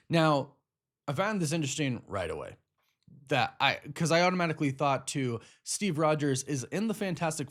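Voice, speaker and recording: A man hosting a casual movie-news channel, chatting through rumors and correcting himself as he thinks aloud. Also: on the high side. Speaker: YouTube movie news host. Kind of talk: casual commentary on film rumors.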